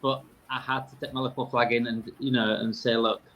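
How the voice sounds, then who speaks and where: A man's voice: medium pitch, little pitch movement, fairly quick, highs cut off, a little hiss or hum. Yorkshire man chatting casually. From Yorkshire, England. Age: 30s. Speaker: podcast guest, fan podcaster. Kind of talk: casual conversation.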